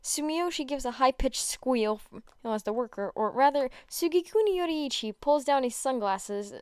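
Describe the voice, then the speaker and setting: A woman's voice: high-pitched, lively intonation, moderate pace, clean tone, dry recording, clean studio sound. A woman narrating a story as an amateur reader, often stumbling and rereading words. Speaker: podfic narrator.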